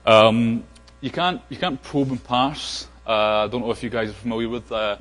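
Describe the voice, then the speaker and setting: A man's voice: medium pitch, steady tone, moderate pace, a bit bright. A man with a Scottish accent giving a casual technical conference talk, full of fillers and restarts. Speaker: conference speaker. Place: large conference hall. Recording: long hall reverb.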